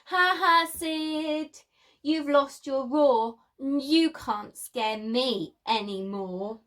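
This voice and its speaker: A woman's voice: high-pitched, drawn-out words, fairly bright tone, exaggerated voice. A woman voicing an indignant male dinosaur friend in a children's storybook, with an emphatic, scolding, sing-song delivery. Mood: mocking.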